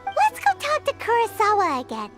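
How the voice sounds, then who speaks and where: A woman voicing a tiny, chirpy fairy companion in a video game, talking in a very high, excitable way. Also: moderate pace, over loud music, heavily acted. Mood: chatty. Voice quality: very high, squeaky. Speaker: companion character. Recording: game score behind.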